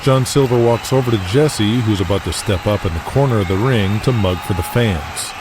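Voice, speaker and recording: A man's voice: fairly low pitch, moderate pace, noisy background. A man narrating an audio drama in a dramatic, scripted, present-tense storytelling style.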